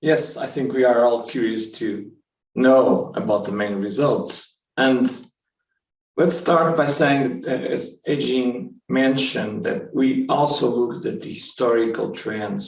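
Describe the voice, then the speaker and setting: Gravelly, slow-speaking man presenting. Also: mid-range voice, darkish tone. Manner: deliberate, halting phrasing. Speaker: researcher presenting results. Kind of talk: webinar scientific presentation. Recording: echoey room, distant from mic.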